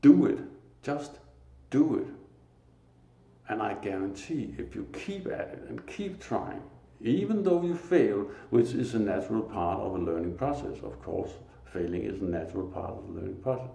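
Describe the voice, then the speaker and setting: A man with a Danish accent giving a slow, earnest, informal spiritual talk, pausing often. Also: lowish voice, lively intonation, vocal fry, mellow. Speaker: lay preacher. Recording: echoey room.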